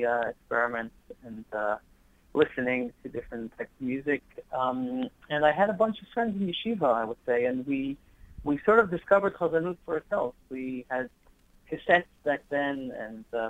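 A man's voice: mid-range voice, a bit bright, no echo. A man from Israel speaks fluently and earnestly, telling his own story as a cantor in a radio interview. He is heard over a telephone line that sounds muffled and lo-fi. The sound is dry.

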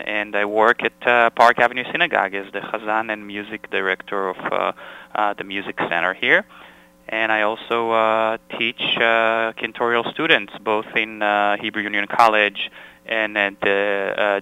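A man's voice: lowish voice, gravelly, bright, forceful tone, clean tone, no echo, poor audio. A man with an Israeli accent, a cantor and music director, telling his story in a radio interview with some um fillers.

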